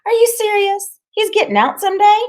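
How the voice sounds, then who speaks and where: A cruel, giggly troublemaker of a woman as a female audiobook narrator plays her. She talks in slang at a raised pitch, teasing and needling with brash relish. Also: big pitch swings, measured pace. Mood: surprised.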